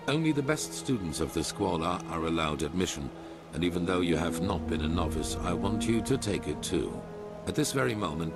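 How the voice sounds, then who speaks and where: An actor playing a solemn, imperious older leader of a religious order in a fantasy game, speaking in a measured, formal way. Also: male voice, low voice. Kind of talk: scripted fantasy video game dialogue. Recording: loud music behind.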